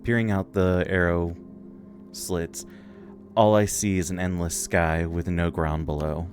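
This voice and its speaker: A man's voice: low voice, fairly even intonation, measured pace, a little mellow. A man reading a video game's story text aloud and commenting as he plays, with game music behind him. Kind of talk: let's play narration, reading dialogue aloud.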